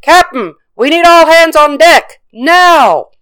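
A woman voicing an urgent first mate on a storybook pirate ship, yelling warnings to the captain. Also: sits high, lively intonation, slow pace, no echo, voice pushed up, full character voice. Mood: urgent alarm.

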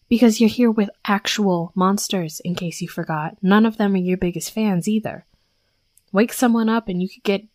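A woman's voice: mid-range voice, a little mellow, dry recording, close to an everyday voice. A young woman playing a brash, sweary tsundere werewolf bully who scolds with grudging protectiveness, in a scripted audio roleplay. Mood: alarmed, scolding. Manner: scolding, sarcastic, sweary, exasperated. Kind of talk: scripted first-person roleplay audio acting.

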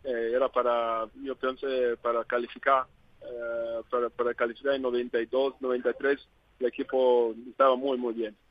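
A man from Zambia, speaking Spanish as a second language, answers interview questions haltingly with many fillers. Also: mid-range voice, little pitch movement, no room sound, lo-fi sound. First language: not Spanish. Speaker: interview guest. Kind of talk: phone interview.

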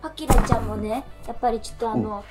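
Bright-voiced woman radio co-host. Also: on the high side, steady tone, fast talker, music in the background. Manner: attentive, nods along with frequent short replies, then tells her own anecdote. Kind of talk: casual radio chat. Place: radio studio.

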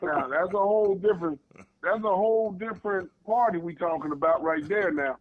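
A man, co-host of a casual chat show, talking with a voice gone hoarse, telling stories emphatically. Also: high voice, brisk. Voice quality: gravelly. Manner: storytelling, emphatic. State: losing his voice. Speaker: podcast co-host. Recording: tinny phone-like line, dry.

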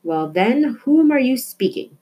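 A woman's voice: sits high, very animated, measured pace, warm tone, voice pushed up. A woman voicing an exasperated, bossy mother in a storybook, calling out and scolding her daughter. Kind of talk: storybook character voice.

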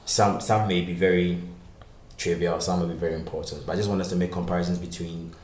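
A man's voice: sits low, steady tone, fast, a little mellow, roomy sound. A man hosting a casual podcast interview, prompting his guests with short replies and laughing easily. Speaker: podcast host.

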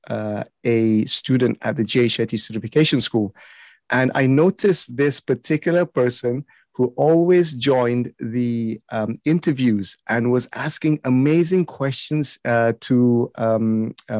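A man from Malaysia hosting a podcast interview and chatting in a relaxed, friendly way. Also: medium pitch, no room sound. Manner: energetic, announcer-like intro, then relaxed chat. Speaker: podcast host and life coach.

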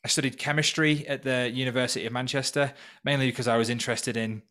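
A man's voice: medium pitch, fairly even intonation, quick pace, fairly bright tone. Earnest man from North Wales. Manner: earnest, reflective, open about hard past. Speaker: podcast guest, healer. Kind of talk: personal story in a podcast interview. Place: temporary remote location.

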